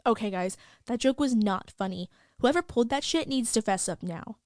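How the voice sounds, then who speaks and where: A woman's voice: a little high, lively intonation, normal speed, darkish tone, dry recording, clean studio sound, close to an everyday voice. A woman voicing a rattled teenage schoolgirl, indignant and insistent, reading out slangy chat messages in an audio drama. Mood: angry.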